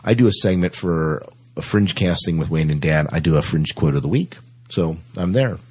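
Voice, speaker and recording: A man casually hosting a science fiction podcast in a chatty, playful way, with a husky voice. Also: extremely low pitch, normal speed. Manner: animated, playful. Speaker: podcast host.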